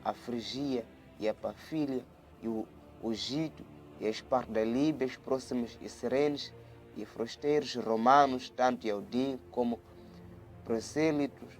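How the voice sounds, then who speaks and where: A man's voice: medium pitch, unhurried, soft background music. A man giving a video Bible study, reading scripture aloud and explaining it in an earnest way, often repeating words and restarting. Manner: earnest, repeats words and restarts, grows lively when retelling. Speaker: Bible teacher.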